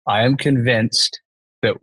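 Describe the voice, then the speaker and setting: Podcast guest: male, forties, Canadian accent, mid pitch, conversational business storyteller, dry recording. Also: fairly even intonation, slow pace, fairly bright tone, breathy edge, no echo, rough recording. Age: 40s.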